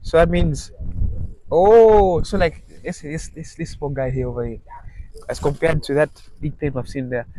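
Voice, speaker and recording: A man's voice: on the high side, moderate pace, mellow, a little hiss or hum. A man with a Zambian accent chatting casually as an interviewer, curious and echoing answers back. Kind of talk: casual chat.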